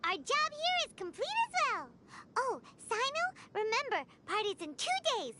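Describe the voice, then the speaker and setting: A woman's voice: extremely high pitch, big pitch swings, moderate pace, very noisy. A woman voicing a small, bubbly, childlike fairy companion in a video game, with music behind her. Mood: chirpy, eager. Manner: bubbly, refers to self in third person. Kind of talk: video game character acting.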